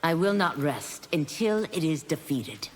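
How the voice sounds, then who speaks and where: A woman's voice: low voice, expressive, unhurried, in a large hall, a little hiss or hum. A woman voicing an earnest, resolute demon warrior seeking redemption in a video game, with formal speech. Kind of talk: video game fight-intro dialogue.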